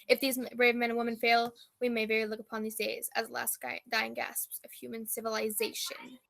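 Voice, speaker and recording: Female war correspondent played by an actress: bright, ringing, high voice, pompous and dramatic old-radio reporting. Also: completely flat delivery, fairly quick, gravelly. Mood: solemn, grandiose. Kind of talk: old-time radio news report parody.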